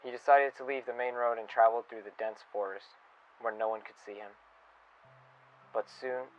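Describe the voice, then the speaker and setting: A man playing a reflective, wistful staff member recording an audio log, speaking in a halting, pensive and sincere way. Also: on the low side, close to an everyday voice. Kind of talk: audio log monologue with storytelling. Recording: dull lo-fi recording with music. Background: music under the voice.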